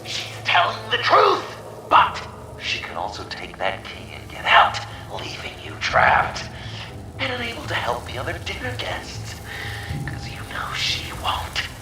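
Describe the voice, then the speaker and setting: An actor playing a sadistic, theatrical game villain who mocks grandly, moving between whispers and shouts. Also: male voice, high voice, huge pitch swings, a little slow, echoey room, distant mic, background noise, full character voice. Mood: taunting. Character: theatrical, sadistic male villain staging deadly games. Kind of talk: video game villain acting.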